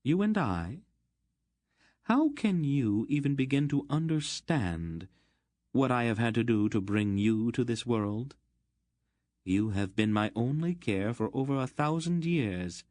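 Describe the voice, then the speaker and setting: A man voicing an ancient, stern sorceress woman who speaks in a cold, clipped, scathing way, raised well above his own low voice. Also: a little mellow, dry recording, studio quality.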